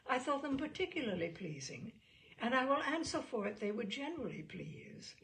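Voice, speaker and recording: A woman voicing a polite, gentle young gentlewoman in an audiobook, speaking in a measured, courteous and reserved way. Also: a little high, big pitch swings, low-quality recording. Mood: gently firm. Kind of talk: audiobook character dialogue.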